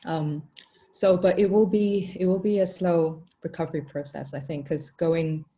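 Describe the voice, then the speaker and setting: A young woman with a low, mellow voice talks about her hotel business in a relaxed interview. She is from Hong Kong, Italy and Malaysia. She thinks aloud, with plenty of ums and "you know". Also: moderate pace. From born in Hong Kong, raised in Italy and Malaysia. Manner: thoughtful, many ums and "you know" fillers. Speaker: hotel co-founder, guest. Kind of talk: casual interview answers.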